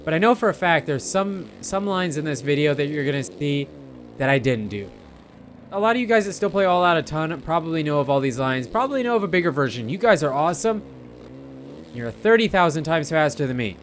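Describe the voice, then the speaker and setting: Slightly high-voiced man doing casual gaming commentary. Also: animated, brisk, slight background noise. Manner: informal, chatty, addressing viewers directly. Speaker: gamer narrating gameplay. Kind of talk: casual rambling gameplay commentary.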